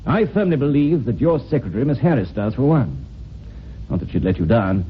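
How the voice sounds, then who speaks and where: Male actor as measured, shrewd police inspector, radio mystery; dull vintage recording, clear hiss. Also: mid-range voice, very animated, lightly acted. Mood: explaining. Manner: measured, wry, authoritative. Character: shrewd, unhurried police inspector explaining the case. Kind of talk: old-time radio drama acting.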